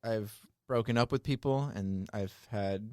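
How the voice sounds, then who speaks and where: A man's voice: on the low side, a little slow, dark tone, dry recording, clean studio sound. A man chatting as a podcast guest, rambling with lots of "like" and laughing often. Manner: rambling, heavy use of "like", frequent laughter. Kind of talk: casual chat.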